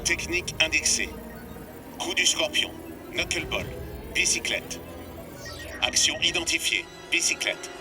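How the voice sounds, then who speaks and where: A man voicing an emotionless robotic cartoon goalkeeper who speaks in flat, clipped statements. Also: on the high side, unhurried, pauses often. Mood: robotic, analytical. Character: emotionless robotic goalkeeper reciting analysed moves. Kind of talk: cartoon dub acting. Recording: loud music behind the voice.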